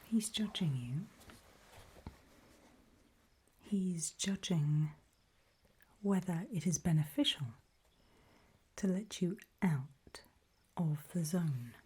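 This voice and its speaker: A woman voicing a calm, ominous gatekeeper in a hushed, breathy ASMR roleplay, speaking mostly in deliberate whispers with pauses. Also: lowish voice, exaggerated voice. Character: calm, ominous female gatekeeper briefing travelers. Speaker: ASMR performer.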